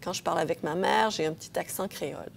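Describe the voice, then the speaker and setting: Creaky-voiced woman lecturing on linguistics. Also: on the low side, fast, a little hiss or hum. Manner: explanatory, frequent hesitations, checks in with audience. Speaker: linguistics professor. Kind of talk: academic lecture.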